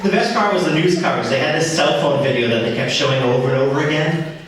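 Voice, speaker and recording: A man's voice: a little high, fast talker, slight background noise. A man from the Boston area performing an amateur stand-up routine live on stage. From Boston area, United States. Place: live stage before an audience. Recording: echoey hall, distant mic, audience crowd.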